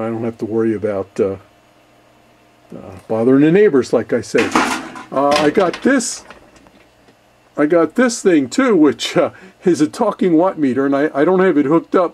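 Older man, mellow rambling hobbyist. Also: medium pitch. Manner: rambling, hesitant, easygoing. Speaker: ham radio hobbyist, video host. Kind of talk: casual workshop show-and-tell. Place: cluttered indoor basement workshop.

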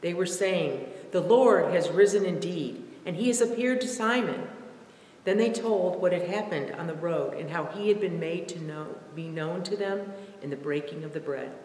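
A woman's voice: fairly low pitch, moderate pace, a little mellow. A middle-aged or older woman reading scripture aloud carefully and expressively from a page in a large reverberant sanctuary. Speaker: scripture reader. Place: large empty church sanctuary, indoors. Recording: echoey church, long reverb, faint noise.

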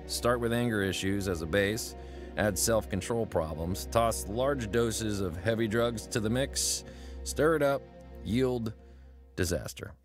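An actor playing a troubled young male airman, narrating his story gravely in first person in a radio drama. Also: on the low side, a little slow, soft background music, lightly acted. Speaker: narrator and main character.

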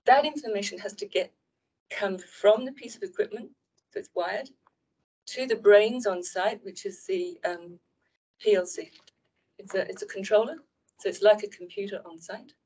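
A New Zealand woman talks through a technical system for a council in a quiet, measured way. Her pitch sits in the middle of the range. The sound comes from a large meeting room and is picked up by table mics. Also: slightly rough, rough recording. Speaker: asset information manager.

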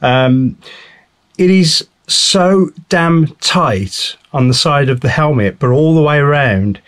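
A man, a motorcycle vlogger giving a casual, rambling gear review to camera, with frequent ums and restarts. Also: a little high. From England.

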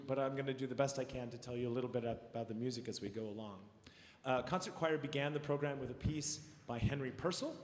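A man's voice: medium pitch, a little hiss or hum. Middle-aged guy w a cold, friendly choir director on a handheld mic, long hall reverb, picked up from far back. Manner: friendly, informal and encouraging. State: has a cold, voice a little worn. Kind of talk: concert announcements to an audience. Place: large recital hall stage. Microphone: handheld mic heard from a far camera.

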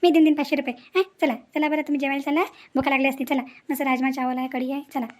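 A woman playing an anxious, soft-hearted village woman in a cartoon family drama, fretful and pleading. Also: sits high, level tone, quick pace, close to an everyday voice. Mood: motherly.

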